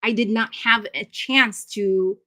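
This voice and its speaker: A woman's voice: medium pitch, steady tone, takes it slowly, pushed and bright. A woman from Iraq presenting a live parenting webinar in a conversational, encouraging way, with frequent ums and uhs.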